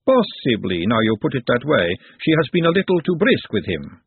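A man voicing a poised, haughty, exacting upper-class woman in an audiobook, with formal, measured, precise phrasing. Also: medium pitch, sing-song, slightly rough, no echo, heavily acted. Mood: composed, mildly condescending. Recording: narrow phone-like sound, very dry.

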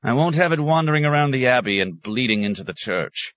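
An elderly abbot, played by a male narrator in a storybook reading. He is good-humoured but exasperated, scolding with familiar mock sternness. The pitch sits above the reader's own deep voice, and the sound is dry. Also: lively intonation, fairly quick, a bit bright, no room sound, lightly acted. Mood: amused, exasperated.

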